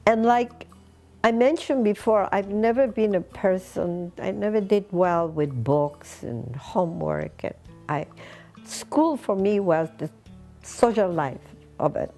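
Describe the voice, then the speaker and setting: Slow-speaking elderly woman. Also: mid-range voice, expressive, a little mellow, soft background music. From Lima, Peru. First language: Spanish. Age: 80s. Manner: unhurried, pausing between short phrases. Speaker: interviewee recounting personal history. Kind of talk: reminiscing oral history interview.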